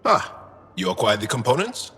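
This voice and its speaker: A man playing a gentle, sentimental alien crewmate in a video game, with a measured, earnest delivery. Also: mid-range voice, wildly swooping pitch, slightly rough, full character voice. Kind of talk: video game dialogue acting.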